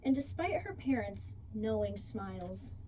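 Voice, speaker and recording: Mellow woman reading a storybook aloud. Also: medium pitch, big pitch swings, unhurried, a little hiss or hum, low-quality recording. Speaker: storybook reader. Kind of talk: expressive children's read-aloud.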